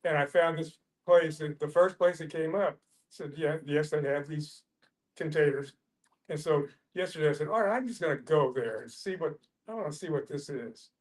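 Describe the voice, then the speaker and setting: A man telling a casual personal anecdote in a halting, rambling, folksy way during a group discussion. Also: on the high side, rough recording. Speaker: discussion participant.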